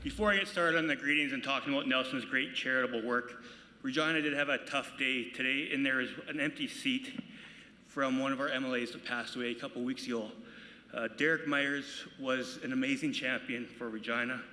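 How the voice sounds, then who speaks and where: A man's voice: medium pitch, steady tone, a little fast, pushed and bright. A man, a local politician, giving earnest, off-the-cuff remarks at a gala in a large banquet hall. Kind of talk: unscripted speech. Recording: echoey hall.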